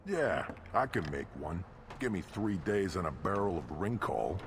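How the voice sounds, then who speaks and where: An actor playing a surly, sarcastic hulking alien mechanic in a video game. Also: male voice, deep voice, very animated, normal speed. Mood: grumbling. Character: grumpy male krogan mechanic, gruff hulking alien. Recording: clear noise, vehicle hum behind.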